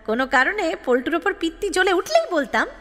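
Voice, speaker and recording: Exasperated, very high-voiced young woman. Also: brisk, exaggerated voice. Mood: chatty, wry. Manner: animated, playfully complaining. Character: exasperated, chatty young woman narrating her love life. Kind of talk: dramatized audio story narration. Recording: long reverb, music behind.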